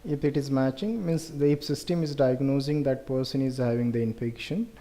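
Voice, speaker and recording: A man with an Indian accent presenting a research project in an explanatory way, with halting, deliberate phrasing. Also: mid-range voice, dark tone.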